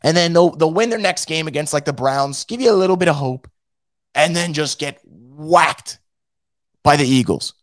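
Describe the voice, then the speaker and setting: A hoarse man who is losing his voice hosts a casual fan show and reads out the live chat, blunt and profane, with many restarts. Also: on the high side, moderate pace, no echo, studio quality. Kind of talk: casual post-game rant.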